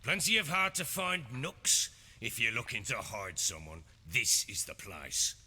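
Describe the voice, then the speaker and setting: An actor playing a blustering middle-aged warlord baron in a video game, with a gruff, husky voice and a Northern English accent. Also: male voice, mid-range voice, wildly swooping pitch, unhurried, pushed and bright, full character voice. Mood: grave. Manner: blustering, emphatic. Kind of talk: video game character acting. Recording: hall reverb, music underneath.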